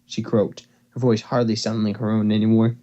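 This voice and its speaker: A man's voice: fairly low pitch, completely flat delivery, brisk, warm tone. Dull-sounding amateur male narrator. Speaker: narrator and co-host. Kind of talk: amateur fan fiction reading with casual asides. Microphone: computer or headset mic on a voice call.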